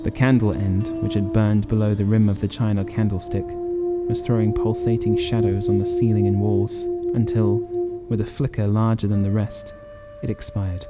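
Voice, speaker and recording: A man's voice: lowish voice, lively intonation, moderate pace, loud music behind the voice. A man narrating a horror audiobook in a dramatic, scripted reading style.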